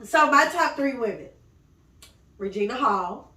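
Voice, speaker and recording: Raspy, bright-voiced woman, animated and blunt. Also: fairly high pitch, a little slow, slight background noise. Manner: blunt, teasing, slangy, emphatic. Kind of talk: casual banter among friends on a podcast. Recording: roomy echo, distant from mic.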